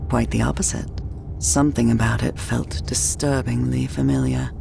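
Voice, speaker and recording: An actress playing a composed narrator, giving measured, literary story narration in a game. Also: female voice, rumbling low, big pitch swings, vocal fry, full character voice. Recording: music under the voice.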